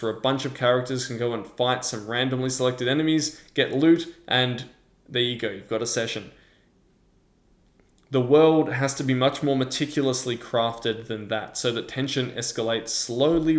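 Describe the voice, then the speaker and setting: A man presenting a scripted review as the host of a video. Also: medium pitch. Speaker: tabletop game reviewer and host. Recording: roomy, echoing sound.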